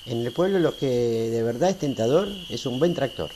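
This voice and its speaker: A man's voice: medium pitch, expressive, dark tone, slight background noise, natural voice. An actor playing an easygoing, teasing small-town railway man in a radio drama, folksy. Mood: amused, folksy. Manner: folksy, unhurried, conversational.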